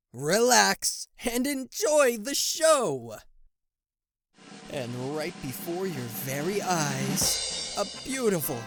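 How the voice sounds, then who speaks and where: A man's voice: high-pitched, fairly bright tone, exaggerated voice. A man playing a cocky, sarcastic devil and fallen angel in a roleplay audio, with a theatrical, teasing manner that slips into flustered stammering. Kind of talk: roleplay audio acting addressed to the listener.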